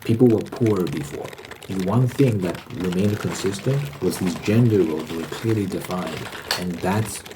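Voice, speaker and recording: Low-pitched man rambling in ASMR style. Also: normal speed, noisy background. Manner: hesitant, repeating words, informal. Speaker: host. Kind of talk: casual rambling ASMR monologue.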